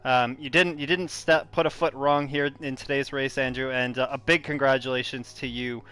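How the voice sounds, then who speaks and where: A male esports commentator closing out a racing broadcast. His voice is smooth and resonant, and he pads his lines with hesitant fillers as he thanks viewers. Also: medium pitch, fairly even intonation, moderate pace, sharp tone. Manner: hesitant, filler-heavy, friendly sign-off delivery. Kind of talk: broadcast race commentary wrap-up.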